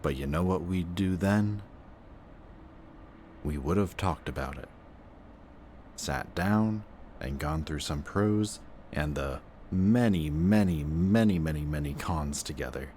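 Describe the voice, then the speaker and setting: Man acting a low-voiced wounded antihero, slow-spoken. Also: darkish tone, slight background noise. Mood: exasperated. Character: wounded, protective male antihero insisting he is a villain. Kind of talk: emotional audio roleplay acting, addressing the listener.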